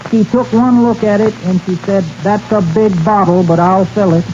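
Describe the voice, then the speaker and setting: A man's voice: high voice, moderate pace, darkish tone, natural voice. A man playing a silly, boastful country cowboy in 1940s radio comedy, telling tall tales in rustic, folksy speech. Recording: dull, hissy 1940s radio broadcast.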